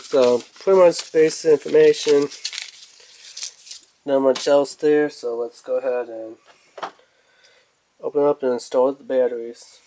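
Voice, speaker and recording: A man's voice: mid-range voice, fairly even intonation, measured pace, pauses often, warm tone, a little hiss or hum, low-quality recording. A man giving casual, off-the-cuff commentary as he reviews a toy in an unboxing video. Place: indoors at a table.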